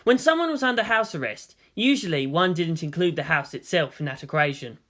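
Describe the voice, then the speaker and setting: A narrator reading a story aloud in an expressive, scripted storytelling style. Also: medium pitch, a little fast. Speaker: audiobook-style reader of fan fiction.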